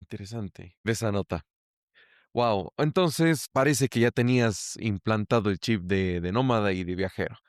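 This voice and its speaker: A man's voice: lowish voice, moderate pace, dry recording, studio quality. A male podcast host who interviews his guest with curious, engaged questions and exclamations. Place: lives in Ecuador.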